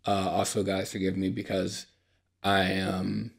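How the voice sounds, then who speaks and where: A man's voice: low-pitched, completely flat delivery, measured pace, vocal fry. A young man hosting a solo podcast, talking in a tired, apologetic way, with lots of fillers and restarts, his voice a bit dry. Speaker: podcast host. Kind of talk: unscripted solo podcast monologue. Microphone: podcast microphone.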